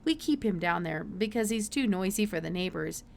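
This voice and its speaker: A woman voicing an earnest farm boy in a storybook, explaining things in a matter-of-fact, unhurried way. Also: lowish voice, animated, vocal fry.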